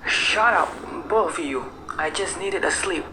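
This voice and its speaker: A man's voice: sits high, unhurried. A man acting a cold, irritable husband in an amateur radio drama, curt and dismissive. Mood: angry. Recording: clear background noise.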